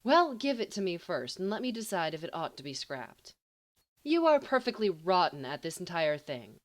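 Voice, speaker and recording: A self-assured teenage girl, voiced by a woman reader, dry and teasing in a storybook tale. She is fondly bossy, with very lively intonation. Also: on the low side, moderate pace. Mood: bossy, affectionate.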